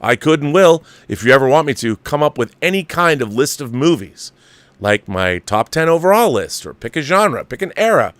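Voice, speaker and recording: A man's voice: medium pitch, big pitch swings, normal speed, a bit bright, dry recording. An older man hosting a movie talk show, reading viewer mail aloud and riffing on it in an opinionated, emphatic, conversational way.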